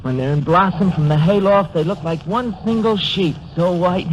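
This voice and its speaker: An actor playing a frightened, homesick nineteen-year-old German recruit in a 1930s radio drama. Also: male voice, high voice, moderate pace, close to an everyday voice. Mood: dreamy, homesick. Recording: dull, noisy 1930s radio recording.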